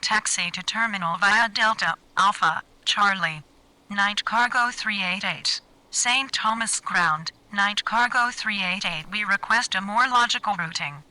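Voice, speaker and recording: A businesslike female cargo pilot voiced by a woman in a flight game, with a very bright, forceful voice. She gives clipped, formal radio readbacks at a middle pitch. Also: measured pace, no echo, low-quality recording. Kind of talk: scripted radio phraseology.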